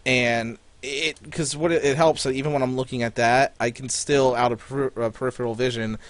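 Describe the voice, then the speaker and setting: A man's voice: medium pitch, fairly even intonation, brisk, dry recording. A man co-hosting a podcast over group voice chat, talking casually and joking around. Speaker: podcast co-host. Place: voice chat for a live online show.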